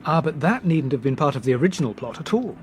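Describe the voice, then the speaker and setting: An actor playing a brisk young Englishman who reasons deliberately and articulately, in a British radio drama. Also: on the high side, sing-song. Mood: reasoning, brisk. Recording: noisy train background ambience.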